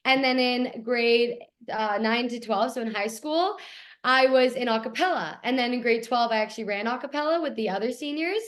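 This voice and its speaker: A young woman from Toronto, Canada, with a raspy voice, talking eagerly as a guest in a casual interview. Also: on the high side, normal speed. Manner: eager, gushing, repeats how much she loves things. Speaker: guest actor.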